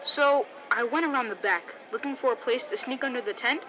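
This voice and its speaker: Eager bright-voiced young boy. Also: on the high side, brisk, a little hiss or hum. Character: eager, polite young boy, excitable storyteller. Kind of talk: old-time radio drama acting. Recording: dull radio sound.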